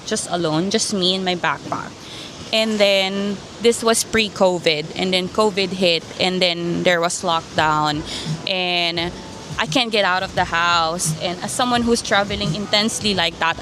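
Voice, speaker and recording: A woman's voice: mid-range voice, a bit bright, slight background noise. A woman from the Philippines with a Filipino accent, chatting in an informal, rambling, slangy way as a vlogger. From Philippines (Manila). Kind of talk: casual chatty personal vlog. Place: quiet empty cafe. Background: music.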